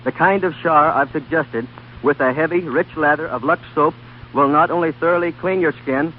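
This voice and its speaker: A man's voice: on the high side, normal speed, phone-quality sound. American male fitness trainer, scripted 1930s radio ad read, thin noisy old broadcast sound. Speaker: Hollywood fitness trainer giving a testimonial.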